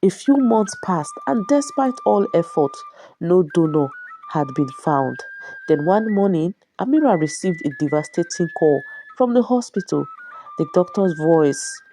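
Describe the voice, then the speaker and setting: A woman's voice: lowish voice, expressive, measured pace, warm tone, soft background music. A woman narrating a dramatic scripted story as a storyteller.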